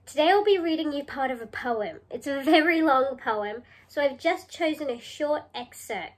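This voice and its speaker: A woman in her thirties speaking as a teacher, reading and explaining clearly for children in a small indoor room. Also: high-pitched, expressive, normal speed. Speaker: primary school teacher. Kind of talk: read-aloud poetry lesson for children.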